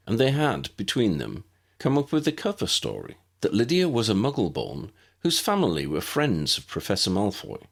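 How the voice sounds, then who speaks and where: Male audiobook narrator, low register with gravelly fry, highly animated intonation. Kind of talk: scripted fiction narration.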